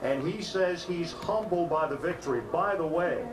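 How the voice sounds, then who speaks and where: A man's voice: on the high side, moderate pace, poor audio. A man giving a live TV news report as a field reporter at a party. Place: election victory party. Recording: loud crowd chatter behind the voice.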